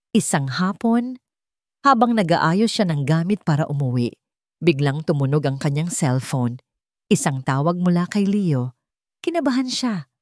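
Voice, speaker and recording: A woman's voice: lowish voice, a little mellow, dry recording, clean studio sound. A woman with a Filipino accent narrating a scripted romantic drama as a storyteller.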